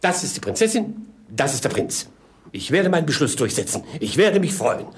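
An actor playing a muddled, pompous and sentimental old king in a 1950s German radio play, theatrical and husky. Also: medium pitch, sing-song, normal speed, slight background noise, lightly acted. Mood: pompous, excited. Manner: pompous, theatrical, abruptly swooping between musing and exclaiming.

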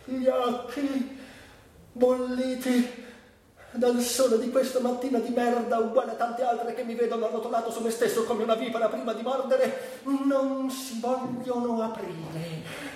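Bitter, tormented young male addict: high-pitched, declamatory stage monologue, heard in a very echoey space. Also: fairly even intonation, darkish tone. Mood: tormented, tense. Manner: declamatory, drawn-out phrases with sudden swings. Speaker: stage actor and director. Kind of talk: theatrical dramatic monologue. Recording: distant, echoey room with faint noise.

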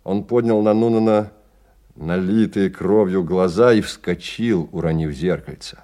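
A man's voice: low voice, measured pace, mellow. A man narrating a Russian radio play as a scripted literary story.

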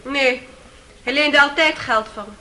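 A woman's voice: sits high, unhurried, bright, forceful tone. An actress playing a candid, cheeky young woman testifying in a radio courtroom drama. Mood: candid, teasing. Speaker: witness. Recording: noisy roomy radio courtroom with crowd.

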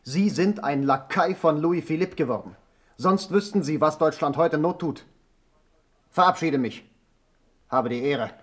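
An actor playing an indignant, haughty German gentleman in a radio play, stiffly formal and affronted. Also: on the high side, a little slow, long pauses. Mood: indignant, scornful.

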